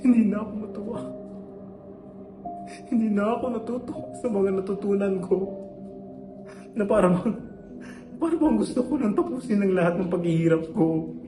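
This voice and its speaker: A man's voice: high-pitched, moderate pace, pauses often, lightly acted. A young man playing a frightened, overworked male student who earnestly pleads to be heard in a student stage play. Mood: pleading. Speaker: student character. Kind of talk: amateur stage acting. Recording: echoey room with loud background music.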